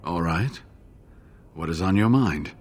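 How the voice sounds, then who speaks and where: A man playing an injured, earnest scholarly monk in a video game, speaking in a halting, expressive way. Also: low-pitched, slight background noise. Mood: thoughtful, wary. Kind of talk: dramatic video game dialogue.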